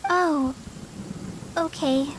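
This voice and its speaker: A woman's voice: high-pitched, drawn-out words, slight background noise. A woman voicing an eager but unsure young trainee ranger girl in an anime video game, polite, earnest and slightly hesitant.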